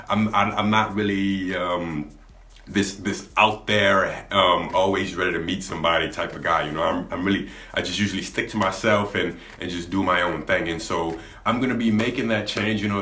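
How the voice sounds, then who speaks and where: A young man with a deep voice, talking candidly to camera in a laid-back, rambling way, often restarting his sentences. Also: sharp tone. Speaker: gaming YouTuber. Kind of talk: candid vlog talk to camera. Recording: echoey room.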